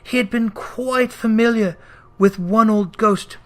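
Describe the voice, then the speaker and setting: A man reading a classic tale aloud as scripted narration, with a measured, dramatic delivery. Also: sits high, a bit bright. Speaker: audiobook reader.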